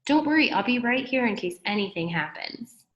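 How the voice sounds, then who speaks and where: A woman's voice: fairly high pitch, animated, moderate pace, fairly bright tone, dull audio, pitched above the performer's own voice. Woman reading as an earnest, jumpy man, echoey. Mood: reassuring. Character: jumpy, good-natured adult man at a school. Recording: echoey room.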